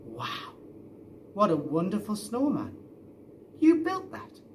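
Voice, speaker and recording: A man's voice: high voice, huge pitch swings, takes it slowly, darkish tone, distant mic, a little hiss or hum, pitched above the performer's own voice, full character voice. A man voicing a blustery farm father in a children's storybook. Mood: amazed. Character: cross farm dad who softens into amazement.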